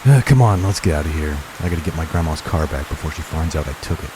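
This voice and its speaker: A man's voice: extremely low pitch, animated, fast, background noise. An actor playing a laid-back teenage boy, a reckless but loyal friend with a casual, slangy way of talking, in an audio drama. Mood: urgent, casual. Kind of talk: audio drama character acting.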